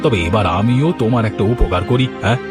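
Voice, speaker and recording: A man voicing a genial, flattering village shop owner and husband in a cartoon. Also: medium pitch, fast talker, loud music behind the voice, close to an everyday voice. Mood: genial, grateful. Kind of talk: cartoon voice acting.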